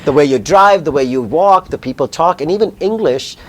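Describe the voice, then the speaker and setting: A man in his thirties from Pakistan with a Pakistani accent, answering interview questions in a fast, animated way. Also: on the high side. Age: 30s. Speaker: guest, company founder.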